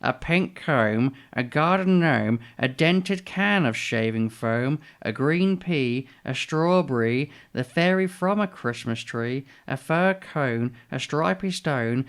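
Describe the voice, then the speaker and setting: A sly male bird from a storybook, acted out by a man, speaks in a slow, drawn-out drawl. He is condescending and deliberate, with a false kindness laid over every word. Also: fairly high pitch, vocal fry, fairly bright tone, clean tone, full character voice.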